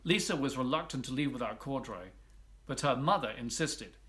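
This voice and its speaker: A man's voice: medium pitch, animated, moderate pace, a bit bright, slight background noise. A man narrating a children's picture book expressively, as a volunteer storybook reader.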